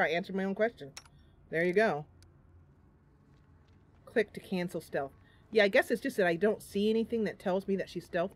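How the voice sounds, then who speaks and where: A woman hosting a live game stream, chatting casually and narrating in a playful, chatty manner. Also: on the low side. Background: game music.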